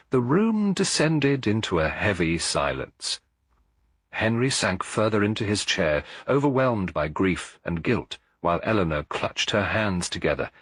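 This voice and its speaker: Deep-voiced, husky male story narrator. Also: a bit bright, no echo. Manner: measured, literary storytelling delivery. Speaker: audiobook narrator. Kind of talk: scripted mystery story narration.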